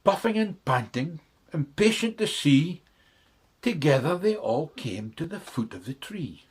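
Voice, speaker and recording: A man's voice: a little high, big pitch swings, unhurried, husky. A man reading a children's bedtime story in rhythmic verse with dramatic delivery.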